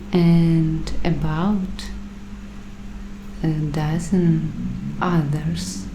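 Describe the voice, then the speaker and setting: A woman playing a paranoid doomsday-prepper waitress in an ASMR roleplay, speaking softly and deliberately in a secretive, conspiratorial way, sometimes in a whisper. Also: fairly low pitch, roomy sound, noisy background. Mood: approving, businesslike.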